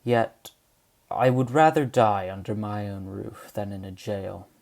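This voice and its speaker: Weary, low-voiced old man acted by a man. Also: measured pace, vocal fry, a little mellow. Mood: anguished. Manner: grave, confessional. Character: weary dying old man, former highway robber, bitter and remorseful. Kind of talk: amateur audio drama acting.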